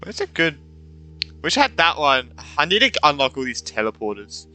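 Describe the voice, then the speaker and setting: A man's voice: a little high, big pitch swings, normal speed, bright, forceful tone, slight background noise, poor audio. A man giving casual let's-play commentary, thinking aloud, repeating himself and reading game dialogue out. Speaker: gaming streamer.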